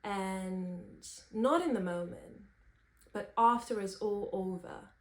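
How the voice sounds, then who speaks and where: A woman in her twenties from Romania, reflecting candidly and thoughtfully without a script, in halting phrases. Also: mid-range voice. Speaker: vlogger, singer-songwriter. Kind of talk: unscripted personal reflection. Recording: roomy, echoey sound.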